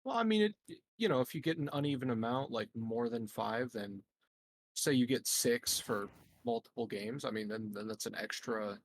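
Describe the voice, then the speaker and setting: A man's voice: mid-range voice, moderate pace, slight background noise. A man chatting casually as a player at a tabletop game, informal and a bit tentative. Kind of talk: casual chat.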